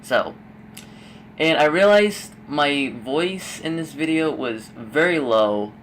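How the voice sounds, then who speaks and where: A young man with a slightly hoarse, worn voice, rambling through casual gameplay tips with lots of fillers and the odd swear word. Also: fairly high pitch, animated, takes it slowly, a bit bright, slight background noise. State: losing his voice, a bit hoarse. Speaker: gaming YouTuber giving tips. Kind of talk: casual gameplay commentary voice-over.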